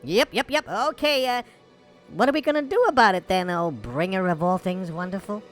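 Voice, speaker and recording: A woman's voice: medium pitch, lively intonation, normal speed, soft background music, close to an everyday voice. A woman playing Santa's eager, chatty, deferential sidekick in an audio drama, with a wry, hesitant manner. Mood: wry, appeasing.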